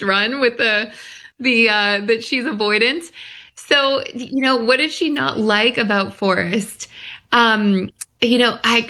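Slightly high, creaky-voiced woman. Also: sharp tone. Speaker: expert guest on a call-in radio show. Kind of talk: conversational explanation.

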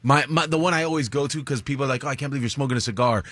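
A man co-hosting a talk show, cracking dry jokes and telling animated stories. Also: medium pitch, racing, no echo. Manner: dry wisecracks, animated storytelling. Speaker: co-host. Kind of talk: casual banter.

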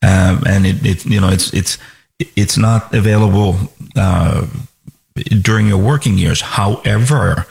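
A man from Finland, a financial advisor speaking as a guest on a radio talk program, measured and full of restarts and fillers. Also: deep voice, creaky, a little mellow.

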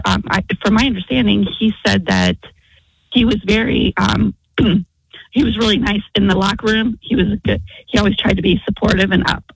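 A woman's voice: mid-range voice, gravelly, slightly rough, dry recording, low-quality recording. A woman on a radio show who talks in a hedging way, often qualifying what she says. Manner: hedging, lots of "from my understanding". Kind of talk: radio talk chat.

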